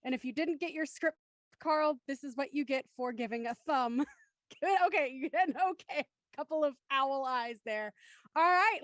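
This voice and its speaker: A woman's voice: high voice, dry recording. A woman hosting a live script reading and reading the stage directions aloud.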